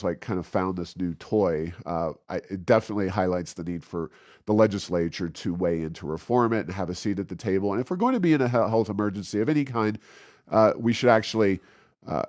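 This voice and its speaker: A man hosting an informal political commentary podcast, speaking in a halting, stammering way. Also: low-pitched, no room sound, clean studio sound. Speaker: podcast host.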